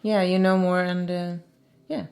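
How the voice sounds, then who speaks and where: A woman with a Swedish accent, an actress chatting on a commentary track, quick to agree and often laughing. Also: fairly low pitch, level tone, gravelly, darkish tone. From Sweden. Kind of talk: casual commentary chat.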